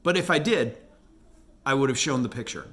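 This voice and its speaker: A man's voice: mid-range voice, animated, normal speed, fairly bright tone. A man talking casually as an animator hosting a look back at his work, in a rambling, self-amused way. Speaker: animator and video host. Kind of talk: casual retrospective commentary.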